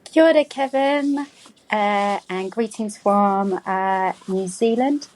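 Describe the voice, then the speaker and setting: A woman's voice: mid-range voice, slow, noisy background. A woman, from the UK, leaving a rambling recorded voicemail as a caller, with many ums. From United Kingdom.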